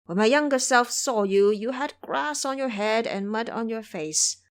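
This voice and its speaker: A woman's voice: on the high side, animated, normal speed. A woman voicing a kindly, very old village man with a deferential, wondering manner in an audio drama. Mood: reminiscing.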